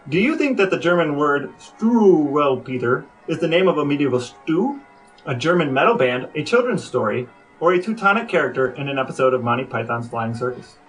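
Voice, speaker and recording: A man's voice: on the high side, sing-song, brisk, soft background music, low-quality recording. A man co-hosting a podcast, chatting casually and posing questions to the people with him. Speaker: podcast co-host.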